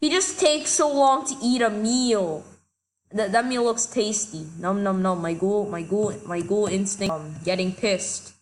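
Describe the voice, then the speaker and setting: A woman giving casual gameplay commentary, excitable and quick to shout in frustration, a little sick. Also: medium pitch, low-quality recording. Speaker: gaming commentator.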